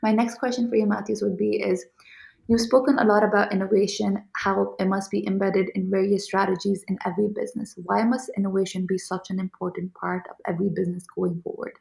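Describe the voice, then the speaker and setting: Young female interviewer from Pakistan; gravelly, even delivery; polite and affirming. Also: medium pitch, fairly quick. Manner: polite, affirming, thanks the guest often. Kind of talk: interview questions.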